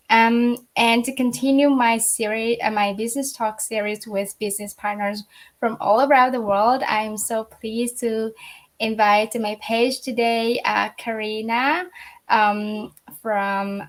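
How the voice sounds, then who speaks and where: A young adult woman from Vietnam hosting a casual business interview, speaking politely with many pauses and fillers. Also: medium pitch. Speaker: host.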